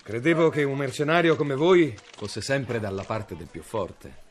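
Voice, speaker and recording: An actor playing a cold, commanding medieval inquisitor in a radio drama. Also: male voice, medium pitch, expressive, measured pace, big-room sound, lightly acted. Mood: probing. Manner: commanding, scornful. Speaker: inquisitor Eymerich.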